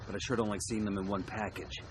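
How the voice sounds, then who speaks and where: An actor playing a businesslike special forces leader in a military game, clipped and commanding, over background noise. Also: male voice, fairly low pitch, steady tone, fast talker, lightly acted. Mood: wry. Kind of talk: military game cutscene acting.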